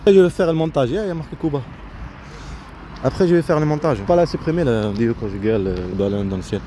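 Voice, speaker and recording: A man giving a casual, rambling vlog commentary in a halting, repetitive way, often breaking off his own sentences. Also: on the high side, expressive, mellow. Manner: halting, repetitive, self-interrupting. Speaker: vlogger. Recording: noisy outdoor recording with vehicle sounds.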